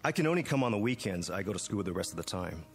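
A man's voice: low voice, sing-song, racing, long reverb tail. An actor playing a polite, hesitant young male student who mows lawns, in a TV drama. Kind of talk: 1980s TV drama acting.

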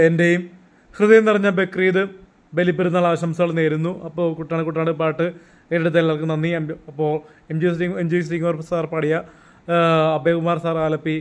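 A young man with a Malayali accent giving a casual, halting and repetitive promotional talk into a phone at arm's length in a room at home. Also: fairly high pitch. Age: young adult. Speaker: song promoter. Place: small room at home, indoors.

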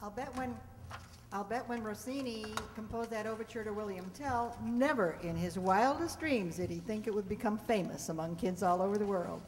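A woman in her seventies giving an informal prepared talk to an audience from a stage. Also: medium pitch. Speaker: speaker between radio plays. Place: on stage in a community hall. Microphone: stand microphone. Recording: reverberant community hall.

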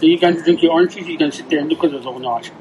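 A man at a restaurant dinner, teasing his companions in quick casual chat. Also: on the high side, darkish tone, a little hiss or hum, poor audio. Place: restaurant, indoors.

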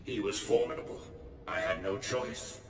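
A man playing a solemn, honourable cyborg ninja in a video game, speaking formally and with measured phrasing. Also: lowish voice, fairly even intonation, a little hiss or hum, lightly acted. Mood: guarded. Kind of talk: video game cutscene acting. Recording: echoey hall, distant, music behind.

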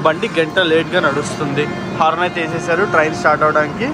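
Young male travel vlogger, high voice, fast casual commentary, phone mic on a noisy train. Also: little pitch movement. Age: young adult. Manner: chatty, pointing out sights as the train moves. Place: aboard a moving train and on station platforms. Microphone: phone held at arm's length. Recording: loud train and wind noise.

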